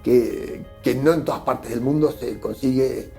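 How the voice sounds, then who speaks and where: An Argentine man with a gravelly, mellow voice, talking as an interview guest. He reasons his way forward and stumbles over repeated words as he looks for the right phrase. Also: medium pitch, a little slow, soft background music. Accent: Argentine Spanish. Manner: thoughtful, repeats words while searching for phrasing. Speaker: guest economist. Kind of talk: conversational interview.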